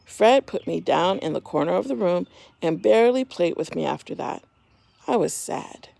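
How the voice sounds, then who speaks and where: A woman reading a children's story aloud in an expressive, simple storytime manner, talking directly to young listeners. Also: on the low side, moderate pace, vocal fry, dry recording.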